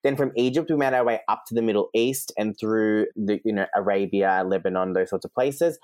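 A speaker in their thirties from Australia, telling stories in casual chat, animated and exclamatory, quick to swear. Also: mid-range voice, dry recording. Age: 30s.

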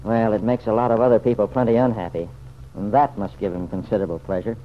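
A man's voice: lowish voice, fairly even intonation, quick pace, lightly acted. An actor playing a plain-spoken, good-hearted American regular guy with a folksy, slangy manner in an old-time radio serial. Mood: disgusted. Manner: folksy, slangy, hesitant at times. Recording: dull, noisy vintage radio recording.

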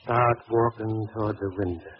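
An actor playing a murderous, taunting gangster ghost with slangy, insistent commands, in 1940s radio mystery style. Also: male voice, on the low side, fairly even intonation, moderate pace, natural voice. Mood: menacing. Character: murderous gangster ghost, glowing green, sneering male. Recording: dull, noisy old radio recording.

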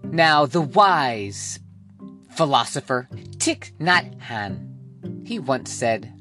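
A man's voice: mid-range voice, big pitch swings, pushed and bright, soft background music. Hype-driven male podcast host. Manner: hype, playful, dramatic drawn-out words. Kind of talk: scripted motivational podcast monologue.

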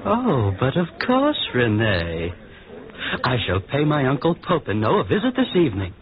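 A man playing an eager, courteous, gullible young gentleman in love, in a 1940s radio drama. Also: mid-range voice, wildly swooping pitch, normal speed. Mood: smitten, concerned. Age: young adult. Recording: muffled, narrow-band old radio recording.

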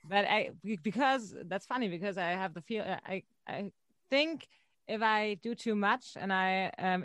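She's a singer, talks haltingly and hunts for words, smooth clean voice, dry close sound, middle pitch. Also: no echo. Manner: hesitant, searching for words, laughs often. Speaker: band singer. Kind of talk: interview answers.

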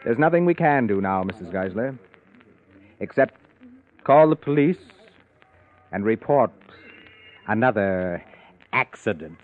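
A somber, self-controlled man in a 1940s radio mystery. His voice is low and his delivery measured and grave. It comes through a thin, tinny old recording. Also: expressive. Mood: cold, ominous. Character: grave, controlled man explaining a deadly accident.

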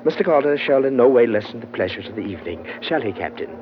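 Actor as unctuous, mocking host, muffled old radio. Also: male voice, mid-range voice, lively intonation, fast talker, noisy background, lightly acted. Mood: genial, unctuous. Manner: formal, unctuous, florid phrasing. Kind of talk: old-time radio drama acting.

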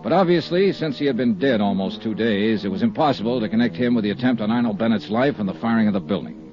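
Guy doing a dry tough-guy radio detective, lowish voice, muffled old broadcast sound. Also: quick pace, over music, close to an everyday voice. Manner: terse, dry narration. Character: hard-boiled male insurance investigator narrating. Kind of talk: 1950s radio drama acting. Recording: dull lo-fi 1950s radio broadcast.

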